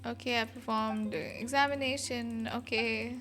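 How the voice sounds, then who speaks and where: A woman game streamer reacting casually and haltingly to a live playthrough, muttering to herself over game music. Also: a little high, fairly even intonation, a bit bright.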